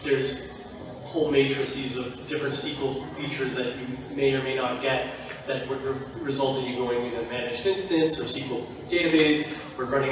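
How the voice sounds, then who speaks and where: A man on a conference panel, explaining in a casual, joking manner. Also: mid-range voice. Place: large conference hall. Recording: echoey hall, mic far off.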